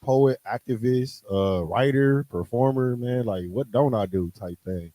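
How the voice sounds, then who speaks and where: A man's voice: mid-range voice, unhurried, warm tone, no room sound. A poet and podcast guest, a man who talks in a laid-back, drawling way. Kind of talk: casual chat.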